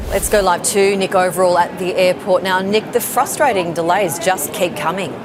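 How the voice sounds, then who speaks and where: A woman's voice: on the low side, fairly even intonation, moderate pace, over music. An Australian woman presenting scripted television news as an anchor.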